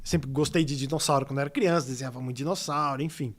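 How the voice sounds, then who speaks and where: A man's voice: mid-range voice, fast talker. A man from São Paulo, a guest illustrator telling stories in a casual podcast interview, rambling with lots of fillers. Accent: São Paulo Portuguese. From São Paulo state, Brazil. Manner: rambling, animated storytelling with many fillers.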